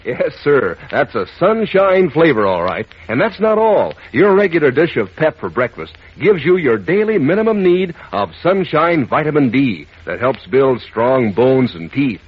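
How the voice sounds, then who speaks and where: Lively, folksy vintage radio pitchman. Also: a little slow, few pauses, slightly rough. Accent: American. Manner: folksy, enthusiastic. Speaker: commercial announcer. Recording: muffled old radio broadcast.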